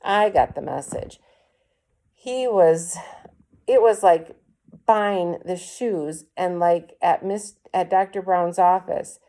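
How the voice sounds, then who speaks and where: A woman reading a children's story aloud as an expressive storyteller. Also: fairly low pitch, slow pace. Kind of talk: expressive children's book narration.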